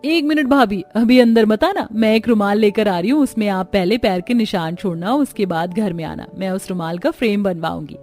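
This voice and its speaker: A woman voicing an excited, adoring young sister-in-law in a cartoon family story, gushing and exclaiming eagerly. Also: medium pitch, moderate pace, hardly any pauses, faint music behind, natural voice. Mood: eager, bossy.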